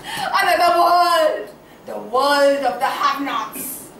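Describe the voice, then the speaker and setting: A woman's voice: sits high, animated, vocal fry, fairly bright tone, heavily acted. A woman playing an eccentric, passionate, half-mad character in a poetic, declamatory stage monologue. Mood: wild, taunting. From India. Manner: declamatory, poetic, dramatic drawn-out delivery. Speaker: stage actor. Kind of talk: theatrical one-act play monologue. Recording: echoey room, distant mic, faint noise.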